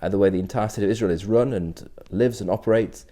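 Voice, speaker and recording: Casting: middle-aged male rabbi, low mellow voice, measured and explanatory. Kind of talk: short history talk to camera.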